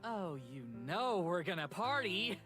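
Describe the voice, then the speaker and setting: A woman's voice: medium pitch, lively intonation, unhurried, a bit bright. An actress voicing a brash, teasing, party-loving young woman in a visual novel game. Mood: excited. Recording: music playing underneath.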